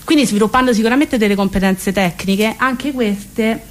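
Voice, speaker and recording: A woman's voice: a little high, moderate pace. A woman speaks as a radio interview guest, explaining a project in long sentences while searching for words. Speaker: guest presenting a theatre residency project.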